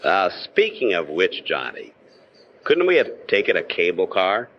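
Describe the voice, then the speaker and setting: An actor playing a fussy, excitable, penny-pinching insurance man in an old-time radio drama. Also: sits low, measured pace, heavily acted. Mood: fretful, droll. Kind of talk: old-time radio comic character acting. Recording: dull lo-fi with faint noise.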